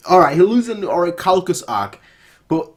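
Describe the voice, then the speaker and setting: A man's voice: high-pitched, sing-song, normal speed. A man reacting casually as a video host, rambling, repeating his phrases and exclaiming.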